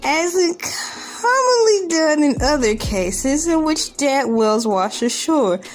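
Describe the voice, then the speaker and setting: A woman reading news aloud as a humorous online creator, dropping in sarcastic, joking asides with theatrical, drawn-out emphasis. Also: high-pitched, very animated, low-quality recording. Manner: sarcastic, joking asides between read lines. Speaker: online video creator reacting to a news article. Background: background music.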